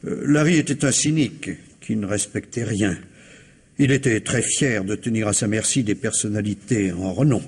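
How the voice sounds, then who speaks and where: A fastidious retired civil servant in his sixties, with a husky, lowish voice. He speaks with formal, hesitant courtesy, careful and reserved, in radio mystery style. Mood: wistful, bitter. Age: older. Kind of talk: French radio mystery play acting.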